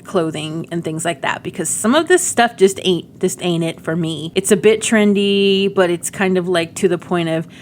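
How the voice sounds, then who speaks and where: A woman's voice: fairly low pitch, normal speed, few pauses, slight background noise. A middle-aged woman giving casual, rambling game demo commentary with cutesy slang. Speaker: gaming video creator.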